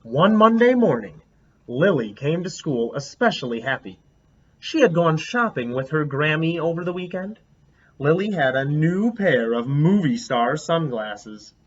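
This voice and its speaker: A man reading a picture book aloud as a teacher, a lively storyteller who stops to comment on the pictures. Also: a little high, breathy edge, muffled recording.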